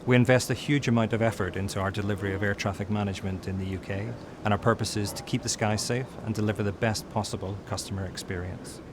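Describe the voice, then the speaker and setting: A man's voice: lowish voice, fairly even intonation, quick pace, hardly any pauses, background noise. A middle-aged man giving a prepared interview statement as a company spokesman.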